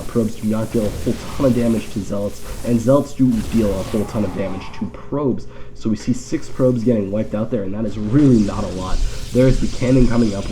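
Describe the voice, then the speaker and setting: Nasal, stuffed-up male game commentator, mellow-voiced. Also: mid-range voice, slight background noise, low-quality recording. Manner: wry, joking, rambling asides. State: congested from allergies. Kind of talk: casual live game commentary.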